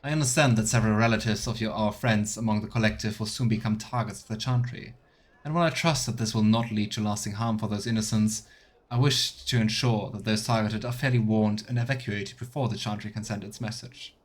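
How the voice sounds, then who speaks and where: A man's voice: on the low side, steady tone, fairly quick. A man streaming a fantasy role-playing game, reading text aloud and chatting in a playful, self-questioning way.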